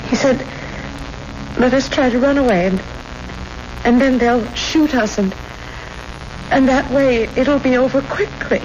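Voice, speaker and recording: A woman's voice: sits high, measured pace, pauses often. A woman acting in a 1970s radio drama as a frightened young woman, stammering and imploring. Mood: weary, confiding. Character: frightened, pleading young woman in desperate straits. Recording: muffled, noisy old radio recording.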